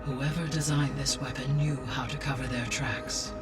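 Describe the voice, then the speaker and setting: An actress voicing a calm, wise female AI that speaks in a measured, formal way. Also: very deep voice. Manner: measured, formal, precise diction. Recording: distant voice over loud music.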